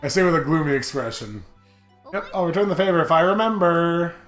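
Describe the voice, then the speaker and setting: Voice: medium pitch, lively intonation, brisk, lightly acted. A gamer reading a visual novel as a wry, exasperated teenage boy delinquent who narrates his troubles. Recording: roomy echo, game music behind.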